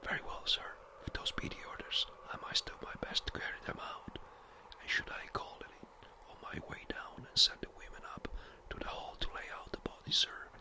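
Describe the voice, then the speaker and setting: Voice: normal speed, natural voice. A narrator whispering the lines of a humble, easily shocked old parish clerk. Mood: dismayed. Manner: humble, fussing.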